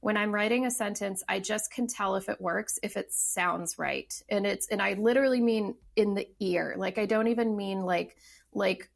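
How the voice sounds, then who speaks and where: Woman, thirties, very gravelly fry, reflective, halting. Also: medium pitch. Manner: thoughtful, many fillers and restarts. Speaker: podcast guest, novelist. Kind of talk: casual interview talk.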